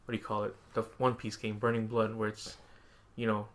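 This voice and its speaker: A man podcast host chatting casually about video games and anime, animated and swearing freely, often acting out his own reactions. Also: fairly low pitch, fairly quick. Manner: animated, profane, frequently quoting himself.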